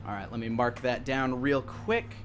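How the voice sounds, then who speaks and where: A man in his thirties narrating a tabletop game as game master, descriptive, with hesitant pauses. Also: mid-range voice, a bit bright. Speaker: game master and stream host. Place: indoor room at home, live stream. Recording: music playing behind the voice. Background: background music.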